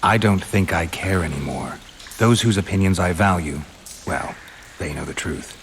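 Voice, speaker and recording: An actor playing a stoic, world-weary monster hunter in a video game, terse and dryly understated. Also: male voice, deep voice, moderate pace, a little hiss or hum. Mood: resolute. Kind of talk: video game cutscene acting. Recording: rain and ambience behind the voice.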